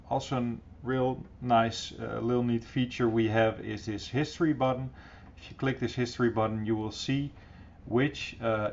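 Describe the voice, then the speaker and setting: Middle aged guy walking thru software, casual n encouraging, bit of fry, dull slightly hissy sound. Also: mid-range voice. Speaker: software tutorial presenter. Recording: dull, slightly noisy computer recording.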